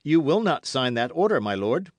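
Man acting young righteous officer, raised pitch, animated, formal, pleading, defiant, historical audiobook. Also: moderate pace, no room sound, natural voice. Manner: formal, insistent, earnest declamation. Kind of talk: dramatic audiobook character reading.

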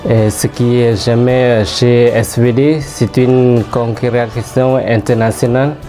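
A young man from Vietnam, a native Vietnamese speaker, speaks slowly and haltingly as he searches for words. His tone is mellow, with soft music behind him. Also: mid-range voice, little pitch movement. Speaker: seminarian.

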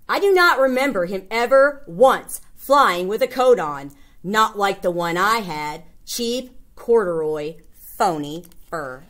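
A woman's voice: on the high side, bright, forceful tone. A woman reading a children's picture book aloud, expressively and with emphatic delivery. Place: indoors, small classroom or office.

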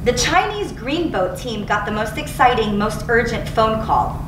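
A woman in her thirties reads a book aloud in a fairly high voice. She is recorded from a distance, with clear noise around her. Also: big pitch swings, moderate pace. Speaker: teacher reading aloud. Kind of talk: read-aloud narration from a book. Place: indoor school studio, seated. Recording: roomy, distant mic, clearly noisy.

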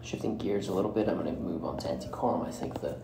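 A man hosting a solo podcast, giving commentary in a halting way and often breaking off mid-phrase. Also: low voice. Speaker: podcast host. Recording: roomy echo with clear background noise.

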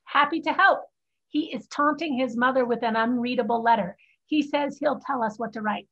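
A woman's voice: a little high, normal speed, a bit bright. A woman playing a cheeky, blunt young Chinese sister who works as a scribe, in a play reading over a muffled video call. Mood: teasing. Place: home room on video call.

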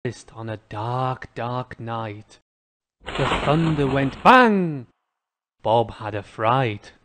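A man's voice: mid-range voice, animated, very slow, very noisy. A man with an American accent narrating a scripted children's cartoon story.

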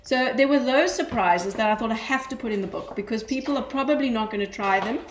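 A woman's voice: fairly high pitch, fast. A woman in her forties, a guest cookbook author, chatting animatedly from a home kitchen over a webcam call, with lots of fillers. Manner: animated storytelling with frequent fillers and laughs. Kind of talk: casual chat on a live stream. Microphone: webcam on a video call. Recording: echoey kitchen with faint noise.

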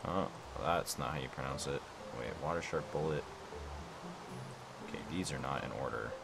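A man's voice: very deep voice, moderate pace, gravelly, over loud music. A young man casually commenting on his own game session at home late at night, rambling and thinking aloud with lots of filler words. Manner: rambling, self-questioning, lots of "like" and "I don't know". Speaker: gaming YouTuber. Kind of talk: casual let's-play commentary. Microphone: desk mic.

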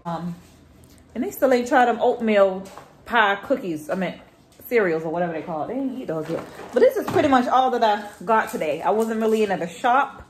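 A woman in her thirties chatting casually to a phone camera in her home kitchen, relaxed and teasing. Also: medium pitch, a little fast, a little hiss or hum. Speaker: vlogger and mother. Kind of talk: casual home vlog chat. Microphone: phone camera held at arm's length.

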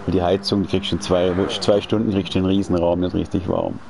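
A man's voice: sits low, level tone, fast talker, vocal fry. A man in his late forties chatting casually while he streams a racing game from home, rambling and slangy with many restarts. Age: 40s. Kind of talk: casual chat while gaming. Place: indoors at home. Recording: racing game engine noise behind. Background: car engine sounds from the game.

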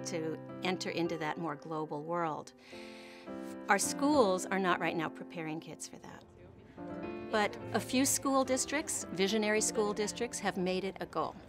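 A woman advocate giving a measured, explanatory documentary interview. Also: fairly low pitch. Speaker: education advocate. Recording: music bed under interview.

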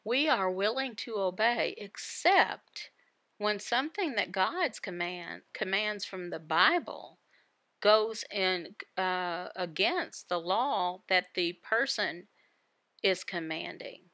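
A woman voicing a Bible devotional, explaining it phrase by phrase in a deliberate manner with pauses. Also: medium pitch, animated, pushed and bright, no room sound. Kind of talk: Bible teaching, voiced devotional.

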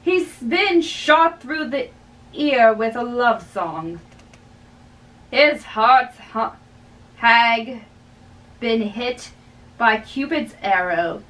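High-voiced young woman reading very slowly. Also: lively intonation, fairly bright tone. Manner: halting, stumbling over lines and repeating them. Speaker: amateur play reader. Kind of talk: dramatic play reading. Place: small bedroom, indoors. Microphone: camera a few feet away. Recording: distant mic in a bedroom.

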